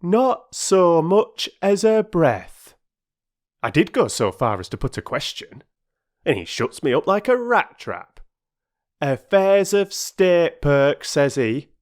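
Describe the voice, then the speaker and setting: A man's voice: fairly high pitch, big pitch swings, slow, pitched above the performer's own voice. A man voicing a huffy working-class railway porter who talks in a lofty, sulky way with clipped dialect speech. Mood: indignant.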